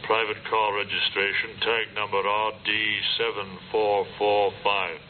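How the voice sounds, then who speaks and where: Male actor as dutiful sergeant giving deferential, factual reports: low, monotone, vintage radio drama, tinny sound. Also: measured pace, natural voice. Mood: matter-of-fact. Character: dutiful, matter-of-fact male police sergeant. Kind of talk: old-time radio drama acting. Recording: tinny old radio with faint noise.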